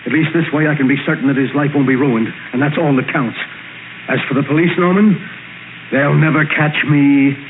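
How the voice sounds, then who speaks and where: A man acting as an obsessive middle-aged father in an old radio thriller. His voice sits a little high and is intense and emphatic, with a schemer's resolve. The sound is tinny, over a clear bed of noise. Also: fairly even intonation, fairly quick, natural voice. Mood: coldly resolved. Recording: noisy, tinny 1940s radio recording.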